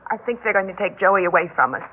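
A woman's voice: mid-range voice, expressive, fast talker, natural voice. Weary radio-drama wife on a thin recording, acted by a woman. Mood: resigned, pleading. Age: middle-aged. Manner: hesitant, placating, earnest. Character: weary, practical middle-aged foster mother. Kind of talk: old-time radio drama acting. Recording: narrow-band old radio, faint noise.

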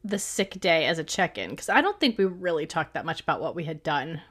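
A woman's voice: deep voice, animated, a little fast, fairly bright tone. Chatty, laughing woman podcast co-host. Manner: chatty, laughs often between remarks. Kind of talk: casual chat recapping episodes.

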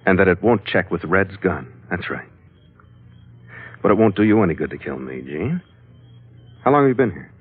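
A man's voice: low-pitched, animated, moderate pace, pauses often, husky. An actor playing a tough, wry sea captain who narrates his own adventures in hard-boiled 1940s radio style. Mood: cool, tough. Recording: narrow old radio broadcast recording.

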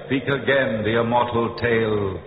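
A man playing a solemn, portentous announcer of eerie tales, in drawn-out, grandiose 1940s radio style. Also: medium pitch, steady tone, close to an everyday voice. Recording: echoey, tinny old radio recording with noise.

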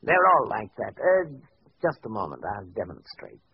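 Suave villainous doctor, muffled vintage radio. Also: male voice, lowish voice, wildly swooping pitch, moderate pace, full character voice. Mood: smug, feigned regret. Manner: smooth, formal, patronizing. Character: suave, condescending false doctor, a male villain. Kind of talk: old-time radio drama acting. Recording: muffled, noisy old radio recording.

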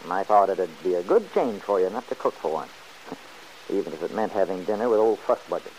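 A man's voice: low-pitched, animated, a little fast, darkish tone, low-quality recording. An actor playing a stubborn, good-hearted, folksy man who grumbles plainly in an old-time radio serial. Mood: amiable. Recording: hissy old radio recording.

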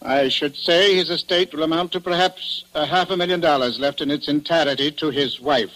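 An actor playing a formal, reserved gentleman in a 1940s radio drama, with a stiff, precise way of talking. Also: a little high, fairly quick, natural voice, poor audio. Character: formal, reserved man discussing an estate.

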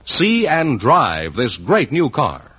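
Male announcer, American, emphatic vintage commercial read, raspy edge, muffled old broadcast recording. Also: medium pitch, wildly swooping pitch, takes it slowly, fairly bright tone. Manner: emphatic salesmanship. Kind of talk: 1950s radio car commercial, scripted announcing. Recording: dull vintage radio recording.

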